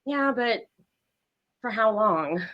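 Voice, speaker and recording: A woman playing a frightened, distraught young psychiatric patient in a dramatic read, halting and stammering as she pleads. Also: fairly high pitch, lively intonation, lo-fi sound. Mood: wary. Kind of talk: dramatic table read of a screenplay.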